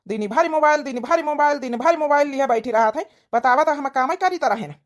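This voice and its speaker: A woman's voice: sits high, fairly quick, lightly acted. A woman voicing a tired, grumbling village husband with an Awadhi accent in a cartoon comedy. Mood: irritated. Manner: grumbling, berating.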